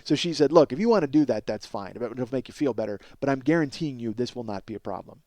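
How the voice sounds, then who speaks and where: A man hosting a podcast, telling a casual, profane comic story in an animated, exaggerating way and acting out both sides of conversations. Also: mid-range voice, fast, a little hiss or hum. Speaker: podcast host.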